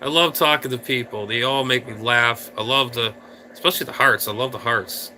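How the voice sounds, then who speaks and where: Bright-voiced man chatting to his live viewers. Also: mid-range voice, brisk, slight background noise, low-quality recording. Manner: rambling, playful, talks straight to viewers. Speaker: live stream host. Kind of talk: casual live stream chat. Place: indoor room.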